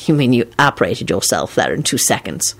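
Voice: mid-range voice, very animated, brisk, pitched below the performer's own voice. A storyteller voicing a blunt, irritable man who talks in a dry, sarcastic way. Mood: defensive.

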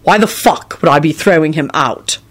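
A woman voicing a gruff, short-tempered man in a comic audiobook, snapping and threatening. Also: low-pitched, normal speed. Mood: ominous.

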